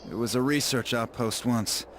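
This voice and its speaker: An actor playing a world-weary, bitter miner in a video game, gruff and matter-of-fact. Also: male voice, mid-range voice, moderate pace, a little hiss or hum, natural voice. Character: world-weary, bitter miner showing his brother around. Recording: loud music behind the voice.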